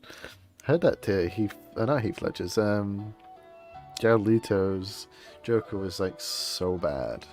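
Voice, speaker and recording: Low, slow-talking male game streamer. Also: lively intonation, a little mellow. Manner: laid-back, rambling. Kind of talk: casual live gameplay commentary. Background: faint game music.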